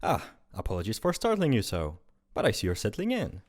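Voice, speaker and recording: A man's voice: fairly low pitch, huge pitch swings, fairly quick. Man playing a glib afterlife bureaucrat. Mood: smooth. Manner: breezy, condescending, bureaucratic. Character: fussy, glib male afterlife closure officer. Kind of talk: amateur radio drama acting.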